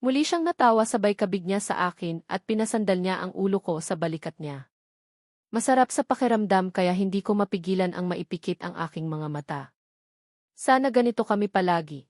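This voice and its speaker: A woman's voice: on the low side, brisk, darkish tone, no room sound. Woman narrating romance, dry sound. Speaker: audiobook narrator. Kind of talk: scripted first-person romance narration.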